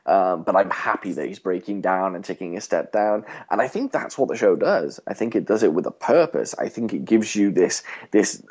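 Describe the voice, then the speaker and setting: A man co-hosting a podcast, casually discussing a TV show in a halting, thinking-aloud way. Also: lowish voice, dark tone, husky, muffled recording. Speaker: podcast co-host.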